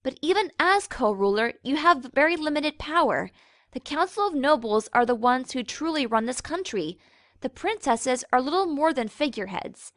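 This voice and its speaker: A woman's voice: high-pitched, expressive, normal speed, no room sound, clean studio sound. A woman voicing a sensible young female pony who reasons wearily and corrects others in a dramatic story reading. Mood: exasperated. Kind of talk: dramatic fan fiction reading.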